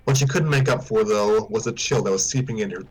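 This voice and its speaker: A man's voice: on the low side, fairly quick, a little hiss or hum, poor audio. A man reading a story aloud informally, now and then stumbling and repeating words. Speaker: story reader on a stream.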